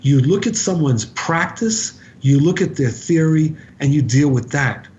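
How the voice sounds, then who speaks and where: A man speaks as an interview guest in a fairly high voice for a male. His delivery is very slow and deliberate, with halting pauses and emphatic repetition. The sound is a little dull and lo-fi. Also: animated, darkish tone, a little hiss or hum. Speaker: podcast guest, author and activist. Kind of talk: conversational interview commentary. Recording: dull, lo-fi podcast audio.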